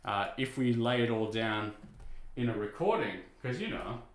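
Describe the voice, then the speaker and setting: Low-voiced man chatting casually, echoey room. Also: expressive, normal speed. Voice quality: low speaking voice. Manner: easygoing, rambling, self-deprecating, many "you know" and "uh" fillers. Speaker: VTuber streamer. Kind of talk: casual chat to stream viewers while restringing a guitar.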